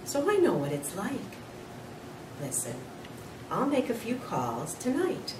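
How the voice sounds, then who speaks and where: A woman voicing a concerned, patient female schoolteacher who questions gently in a children's story. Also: on the high side, wildly swooping pitch, slow pace, pitched above the performer's own voice, heavily acted. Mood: gentle, reassuring. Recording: roomy, distant mic, noisy.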